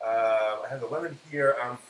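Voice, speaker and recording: A man's voice: mid-range voice, brisk. A man hosting a casual live cooking demonstration, chatting and explaining each step as he works. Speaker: cooking live-stream host. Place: indoor home kitchen. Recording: echoey room with clear background noise.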